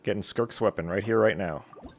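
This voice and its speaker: A man's voice: on the low side, brisk, slight background noise. A man live-streaming a game with casual commentary and joking, flippant asides, over the game's music.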